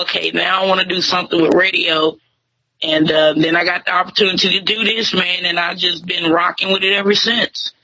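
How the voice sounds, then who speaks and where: Woman, 20s, bright tense tone, relaxed slangy speech, interview guest. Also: mid-range voice, poor audio. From Tyler, Texas. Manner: laid-back slangy talk, many fillers. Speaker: radio host and MC being interviewed. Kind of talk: casual interview chat.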